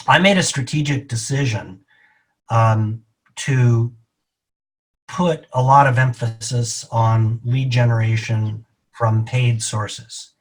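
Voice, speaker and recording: A middle-aged man being interviewed as a real estate agent, explaining his answers in a measured, deliberate way. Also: mid-range voice, poor audio.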